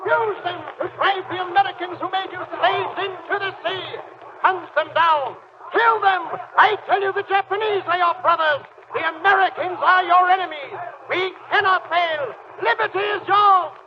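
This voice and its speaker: Shrill Nazi agitator, noisy old radio. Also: male voice, normal speed, muffled recording, crowd noise. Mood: rabble-rousing. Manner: strident, declamatory. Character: fanatical Nazi agent rousing a crowd, treacherous villain. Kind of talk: 1940s radio acting, oratory.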